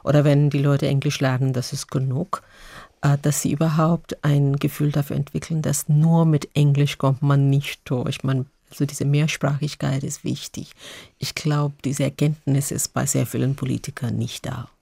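A woman answers an interview question earnestly, repeating words as she thinks. Her voice has a creaky edge, and the sound is dry and close. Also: a bass voice, little pitch movement, moderate pace, warm tone, no room sound. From India. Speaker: general secretary of a teachers' association.

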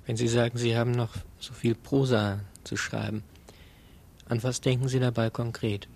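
A male interviewer with an average-pitched voice puts formal questions. His delivery is measured and courteous, in the polite register of a prepared interview. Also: level tone, creaky, darkish tone, husky.

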